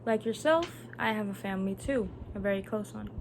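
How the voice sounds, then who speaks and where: Young Filipina giving an earnest speech. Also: female voice, mid-range voice, a little hiss or hum. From Philippines. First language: Tagalog. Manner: earnest, measured delivery. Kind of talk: prepared speech.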